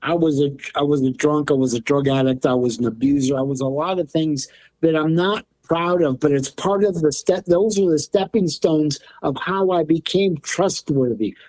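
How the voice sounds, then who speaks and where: Middle-aged guy from LA, guest on a podcast, earnest and thoughtful, repeats himself a lot, kinda high voice, dry sound. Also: normal speed, a little mellow, no echo, poor audio. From Los Angeles. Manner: earnest, reflective, repeats words as he thinks. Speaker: guest, drum maker and healer. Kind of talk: interview conversation.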